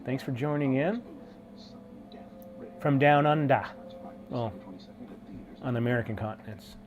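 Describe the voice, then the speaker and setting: A stuffed-up man with a cold, a little sniffly, chatting loosely on his live stream. He greets viewers one by one, tossing in dude and man, in a voice of ordinary middle pitch. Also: a little slow. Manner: laid-back, slangy, says dude and man a lot.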